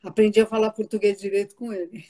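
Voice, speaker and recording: An older woman who grew up in Italy and learned Portuguese as a child, reminiscing in a relaxed interview, chatty and laughing often, sometimes trailing off and repeating words. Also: medium pitch, a little fast. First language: Italian. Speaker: guest author.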